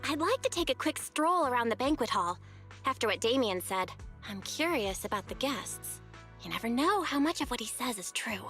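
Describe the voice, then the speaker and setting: A woman's voice: a little high, very animated, moderate pace, a bit bright, soft background music. An actress playing a curious, perceptive young woman investigator in a video game, speaking casually and inquisitively. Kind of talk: video game story dialogue.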